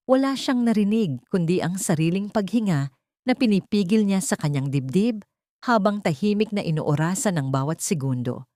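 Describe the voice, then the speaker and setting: A woman reading a scripted dramatic love story as narrator, in a measured, solemn manner. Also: fairly low pitch, darkish tone, no echo, clean studio sound.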